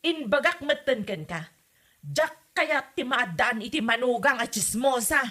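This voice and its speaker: Woman acting high-pitched, scolding Ilocano mother. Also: expressive, a little slow, pushed and bright, exaggerated voice. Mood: scolding, exasperated. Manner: scolding, exclamatory. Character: meddling, stubborn, disapproving mother and would-be mother-in-law. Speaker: actor in an Ilocano comedy drama. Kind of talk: comedy radio-style drama acting.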